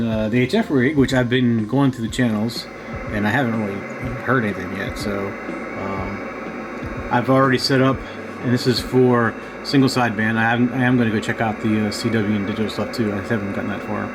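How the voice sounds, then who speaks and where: A man hosting a casual, unscripted radio hobby video, talking in a halting, low-key way as he thinks aloud. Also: flat delivery, background noise. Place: home radio shack.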